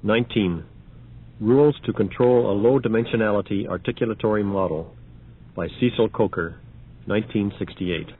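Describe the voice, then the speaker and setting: A man reading scripted, numbered announcements in a measured, matter-of-fact narrator's manner. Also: fairly low pitch, husky. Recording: dull band-limited old audio.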